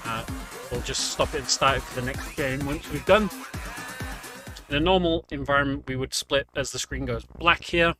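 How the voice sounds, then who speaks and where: A man's voice: mid-range voice, normal speed. A man giving casual, explanatory commentary on a video game walkthrough, talking the viewer through as "we". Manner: explanatory, speaking as "we". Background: game music.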